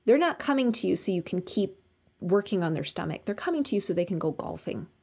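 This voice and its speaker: A woman's voice: on the low side, expressive, fast, a little mellow, breathy edge. Earnest woman acupuncturist in conversation. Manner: earnest, talks in long run-on stretches. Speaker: acupuncturist, podcast guest. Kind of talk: casual professional conversation. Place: practices in Colorado.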